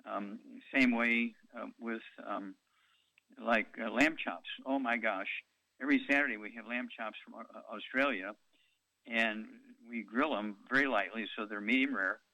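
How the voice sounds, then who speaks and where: A man's voice: medium pitch, bright, forceful tone, dry recording, muffled recording. A man in his eighties hosting a call-in radio show, talking in a rambling way with many ums and uhs. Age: 80s.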